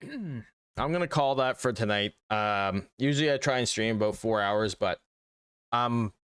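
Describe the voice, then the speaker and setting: A man's voice: medium pitch, lively intonation, measured pace, fairly bright tone, clean tone, dry recording. A man streaming a video game and chatting casually with viewers while losing his voice. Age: adult. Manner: chatty, reads chat aloud.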